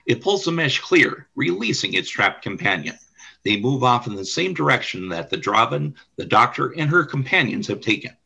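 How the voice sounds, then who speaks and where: A man's voice: mid-range voice, sing-song, moderate pace, creaky, sharp tone, breathy edge. A man reading scripted narration for a radio play, describing scenes and action.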